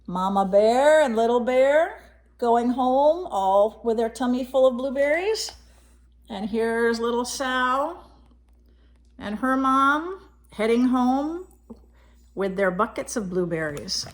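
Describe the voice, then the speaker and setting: A woman reading a picture book aloud as a storyteller for young children, expressively, pausing to explain pictures and ask questions. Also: on the high side, lively intonation.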